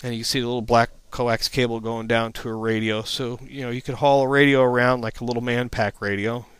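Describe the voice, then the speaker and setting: Clear-voiced man presenting an informal tutorial. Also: medium pitch, level tone, brisk, no room sound. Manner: plain-spoken, matter-of-fact explaining. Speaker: instructor, presenter. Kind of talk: informal slide presentation narration.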